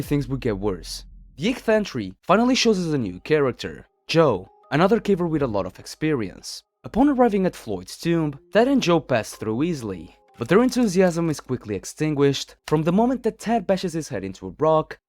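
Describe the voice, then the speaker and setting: Animated male horror-story narrator, dry sound. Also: medium pitch, no echo. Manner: dramatic storytelling. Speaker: video narrator.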